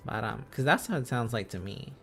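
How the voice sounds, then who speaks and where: A man's voice: medium pitch, animated, a little fast, a bit bright, soft background music. A man streaming a casual lesson, musing aloud and repeating phrases and syllables as he works out letters and words. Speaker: streamer learning Korean script on a live stream.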